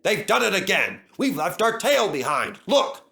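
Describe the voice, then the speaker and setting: A man's voice: high-pitched, very animated, a little slow, creaky, sharp tone, voice pushed up, full character voice. A man voicing an engine driver giving urgent, practical instructions.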